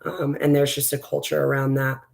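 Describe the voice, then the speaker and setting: A woman with a raspy, strained voice, a school board candidate talking as a guest in a relaxed interview, rambling with lots of fillers and restarts. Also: rumbling low, brisk. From West Texas. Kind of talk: casual conversational interview.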